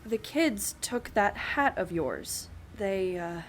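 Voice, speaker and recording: An actress in an audio drama playing an uneasy, incredulous school staffer who breaks bad news hesitantly and earnestly. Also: female voice, mid-range voice, expressive, takes it slowly, slight background noise.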